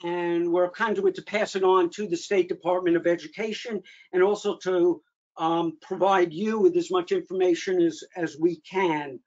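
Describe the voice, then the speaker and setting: A man hosting an online meeting, giving informal updates in a halting way with frequent pauses mid-sentence. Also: high voice, steady tone, a little mellow. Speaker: meeting host, association official giving updates. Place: remote video meeting. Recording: dull lo-fi online meeting audio.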